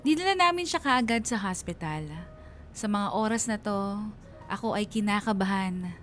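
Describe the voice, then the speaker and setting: A woman's voice: mid-range voice, lively intonation, normal speed, a bit bright, soft background music, close to an everyday voice. A woman playing a heartfelt young writer telling her own love story as radio drama narration. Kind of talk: first-person radio drama narration, letter to DJ.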